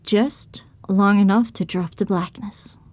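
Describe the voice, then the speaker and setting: A woman reading scripted first-person narration as the heroine of an audio drama, recounting her dark adventure. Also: medium pitch, fairly even intonation, slow pace, no room sound. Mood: somber recounting.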